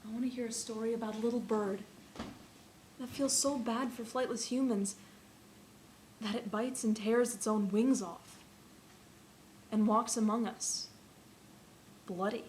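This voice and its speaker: A woman's voice: on the high side, fairly even intonation, a little mellow, reverberant, lightly acted. Woman acting a wistful dreamer, slightly noisy recording. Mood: wistful, imaginative. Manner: deliberate, lyrical, repetitive phrasing. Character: wistful dreamer longing to hear a fanciful story. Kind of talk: theatrical monologue. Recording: noticeable background noise.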